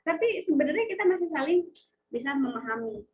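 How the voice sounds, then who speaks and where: A woman with a high voice teaches an online lecture over a video call. She keeps checking that students follow and prods them with questions. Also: expressive, a little fast. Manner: questioning, prompts students with frequent tag questions. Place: home, online class.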